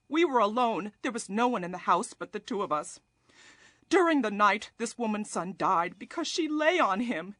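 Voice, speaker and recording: High-voiced, pleading young mother. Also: bright, forceful tone, dry recording, clean studio sound, exaggerated voice. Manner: pleading, emotional, earnest. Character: distressed young mother pleading before a king. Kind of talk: dramatized scripture reading.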